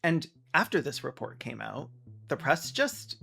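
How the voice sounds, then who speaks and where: A man hosting a video essay, delivering scripted commentary in a sarcastic, deadpan manner. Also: medium pitch, unhurried, gravelly, faint music behind.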